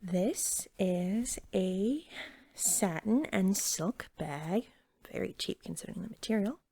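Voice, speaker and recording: A woman doing soft-spoken ASMR roleplay as a helpful shop cashier, gentle, breathy and chatty, sometimes slipping toward a whisper. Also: medium pitch. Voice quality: soft, breathy, with slight fry. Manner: gentle, unhurried, chatty shop-clerk patter. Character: eager, helpful young female pet store cashier.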